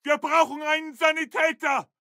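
An actor playing an urgent infantry soldier, barking short military orders in a video game. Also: male voice, very high voice, flat delivery, moderate pace, pushed and bright, no echo, clean studio sound. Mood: urgent, pleading. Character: German Second World War infantry soldier, male, urgent and commanding. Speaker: soldier character. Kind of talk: shouted video game battle callouts.

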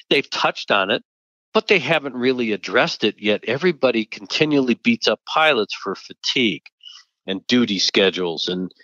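Emphatic man with a bright, forceful voice. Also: fairly low pitch, no echo, lo-fi sound. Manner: emphatic, rising to indignant rhetorical questions. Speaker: podcast co-host, aviation safety investigator. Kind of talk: conversational podcast discussion.

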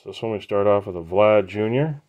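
A man hosting a trading card break, casually naming each card as he opens the packs in an unhurried, matter-of-fact way. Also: lowish voice, a little mellow, dull audio. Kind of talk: casual pack-opening commentary.

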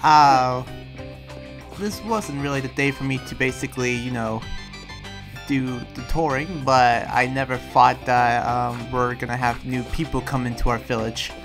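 A person improvising a relaxed demon slayer in a game roleplay, with a hesitant, halting delivery. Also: mid-range voice. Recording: music behind the voice.

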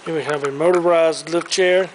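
A man's voice: a little high, fairly even intonation, moderate pace, noisy background. A man walking through an auction warehouse and showing off the items for sale, upbeat and enthusiastic, recorded on the camera he carries. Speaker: auctioneer giving a preview walkthrough. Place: large indoor auction warehouse. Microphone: handheld camera he carries as he walks.

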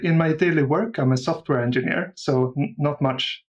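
A man's voice: a little high, moderate pace, lo-fi sound. Man chatting casually as a guest. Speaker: podcast guest, audio drama creator. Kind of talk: casual interview chat.

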